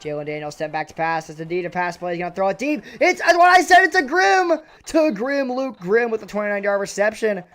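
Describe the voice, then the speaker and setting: A young man doing play-by-play commentary on a video game football match, excitable and a little raspy, his voice jumping on big plays. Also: brisk, few pauses. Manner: excitable, voice jumps high and loud on big plays.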